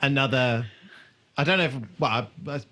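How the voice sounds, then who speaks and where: A man with a mid-pitched voice co-hosts a podcast. He talks casually and informally, as if chatting through a show with a friend. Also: a little fast, pushed and bright. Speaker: podcast co-host. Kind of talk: casual rewatch podcast chat.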